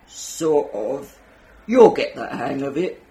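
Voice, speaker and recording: A woman voicing a calm, matter-of-fact male attendant at an exhibit entrance, within a story she reads. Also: a bass voice, slow, voice pushed down, exaggerated voice. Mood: reassuring.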